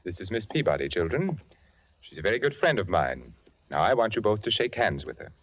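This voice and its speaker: A genteel, uneasy widowed father, lower-voiced, very lively pitch, dull old radio sound. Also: fairly quick, a little hiss or hum. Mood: insistent. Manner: genteel, nervously insistent. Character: eccentric rich widowed father, smooth and uneasy. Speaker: radio drama actor. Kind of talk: 1940s radio acting. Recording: dull lo-fi 1940s radio broadcast.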